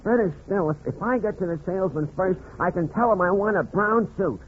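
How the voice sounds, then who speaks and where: High-voiced meek henpecked husband. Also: male voice, expressive, brisk. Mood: meek, wistful. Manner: hesitant, plaintive, submissive. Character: meek henpecked little husband, reluctant and wistful. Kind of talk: 1940s radio comedy acting. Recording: noisy, muffled 1940s radio recording.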